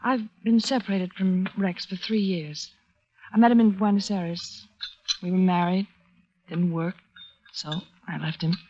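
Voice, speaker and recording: Actress as a troubled radio femme, muffled, noisy recording. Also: female voice, on the low side, fairly even intonation, a little slow, warm tone, lightly acted. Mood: troubled, resigned. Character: wealthy, troubled woman of extremes, elegant and confiding. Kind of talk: 1940s radio acting.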